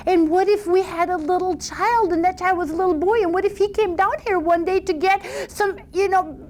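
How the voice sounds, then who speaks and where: A foolish young maiden from a folk tale, her voice climbing high and shrill as she frets over dangers that will never happen, as a storyteller puts her on. She wails with mounting alarm, her tone thin and pinched. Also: steady tone, fairly quick, slight background noise. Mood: panicky. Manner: wailing, rising into a high, shrill pitch. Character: silly, weepy young woman fretting over imagined disasters.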